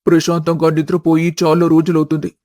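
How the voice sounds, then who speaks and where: Man as a weary, high-pitched village patriarch. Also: monotone, brisk, dark tone, no echo, clean studio sound, close to an everyday voice. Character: worn-out, troubled village father-in-law. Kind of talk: dramatized village family story.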